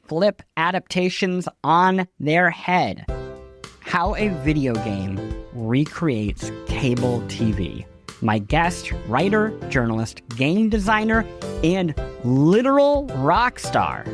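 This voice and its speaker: A man hosting a podcast, reading scripted news and show notes in a wry, conversational way. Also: a little high. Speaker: podcast host. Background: music behind the voice.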